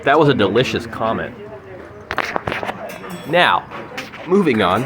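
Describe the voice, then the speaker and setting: A man's voice: medium pitch, very animated, slow pace, noisy background. A young man hosting a comic news segment with mock-formal, facetious delivery. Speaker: podcast segment host. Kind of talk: mock news anchor banter. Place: sushi restaurant. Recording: busy restaurant chatter behind.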